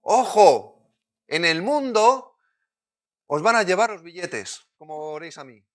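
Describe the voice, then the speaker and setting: Man, Madrid Spanish accent, preacher, sermon, emphatic, loud then hushed. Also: high voice, animated, measured pace. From Spain. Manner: emphatic, shifts between loud urgent points and quiet intimate asides.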